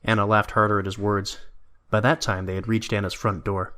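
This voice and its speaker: A man's voice: lowish voice, flat delivery, a little fast, fairly bright tone. A man reading scripted story narration as the narrator of an audio drama.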